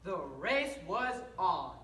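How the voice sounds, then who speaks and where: A woman's voice: mid-range voice, very animated, slow, a crowd in the background. A female narrator reading scripted story lines aloud in a readers theater performance. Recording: echoey room, distant mic, faint noise.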